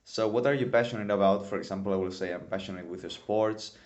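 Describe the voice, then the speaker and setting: A man's voice: lowish voice, quick pace, darkish tone, muffled recording. A man in his twenties from Spain, with a Spanish accent, teaching a casual English lesson and often repeating words for emphasis. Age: 20s. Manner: non-native phrasing, repeats words for emphasis.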